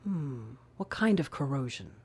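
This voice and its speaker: A woman's voice: rumbling low, very animated, a little slow, warm tone, breathy edge, exaggerated voice. An actress playing an authoritative, probing female intelligence chief in an audio drama, direct and commanding.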